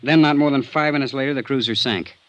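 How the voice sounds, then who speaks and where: A man's voice: mid-range voice, a bit bright, no echo, close to an everyday voice. An actor playing a defensive, earnest young man under suspicion in a vintage radio drama. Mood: earnest recounting. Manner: defensive, earnest, quick to bristle. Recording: dull, band-limited old radio recording.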